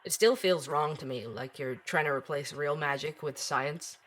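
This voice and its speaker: A man's voice: fairly high pitch, moderate pace, heavy background noise, natural voice. An actor playing a probing, skeptical male investigative host in an audio drama, with hesitant but pointed questioning. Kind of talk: audio drama interview and narration.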